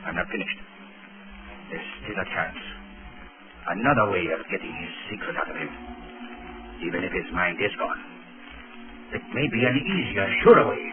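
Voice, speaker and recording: An actor playing a cold, scheming foreign villain in a 1930s radio drama, with a menacing, theatrical, drawn-out delivery. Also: male voice, sits low, lively intonation, background noise. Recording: tinny 1930s radio recording with music.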